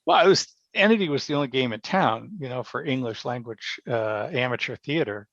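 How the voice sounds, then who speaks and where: A man talking casually and reflectively as a podcast guest, thoughtful and halting, often pausing mid-sentence. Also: medium pitch, lively intonation, a bit bright, dry recording. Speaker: podcast guest, amateur theater member. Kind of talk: casual reflective interview conversation. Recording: dry, dull lo-fi sound.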